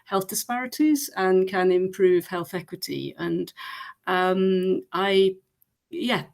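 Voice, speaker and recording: Englishwoman, gravelly fry, informal group introduction, halting and searching for words. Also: fairly low pitch, a little mellow. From England. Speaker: research engagement freelancer at a training session.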